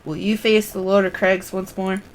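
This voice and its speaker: Creaky-voiced woman streaming a game. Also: mid-range voice, little pitch movement, normal speed, slight background noise, rough recording. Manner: thinks aloud in short fragments. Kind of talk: live game stream, reading quest dialogue aloud and muttering casually.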